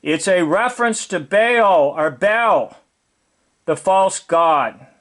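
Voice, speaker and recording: A man's voice: high-pitched, lively intonation, slow pace, fairly bright tone. A man giving informal, preachy commentary, explaining emphatically and repeating key words. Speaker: video commentator.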